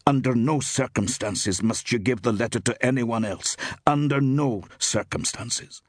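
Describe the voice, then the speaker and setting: An earnest, insistent young man, acted out by a male narrator. The voice is a little husky and rises and falls freely. He presses his point with urgency, in the style of an audiobook mystery. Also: deep voice, lively intonation, normal speed, no echo, studio quality.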